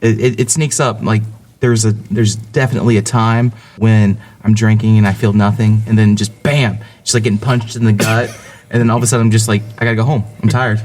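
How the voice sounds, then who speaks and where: A man in his thirties, co-hosting a podcast in casual chat, relaxed with dry quips. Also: on the low side, fairly quick. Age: 30s. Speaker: podcast co-host.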